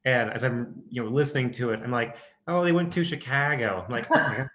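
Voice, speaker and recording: Midwestern guy chatting in an interview, lots of ums and restarts, regular pitch. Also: quick pace, fairly bright tone. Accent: Midwestern American. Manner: hesitant, many restarts and fillers. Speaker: audiobook narrator. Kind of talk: casual interview chat.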